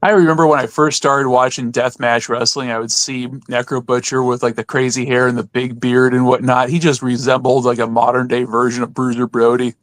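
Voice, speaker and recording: A man's voice: medium pitch, level tone, brisk, hardly any pauses, a bit bright. A man co-hosting a podcast in casual, conversational chat. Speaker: podcast co-host.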